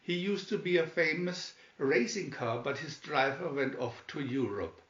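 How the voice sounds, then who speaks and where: A man's voice: a little high, measured pace, fairly bright tone. A man voicing a sweet but scolding, protective little old lady in a storybook reading. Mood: fondly explaining. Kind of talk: storybook read-aloud. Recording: dull, roomy home recording.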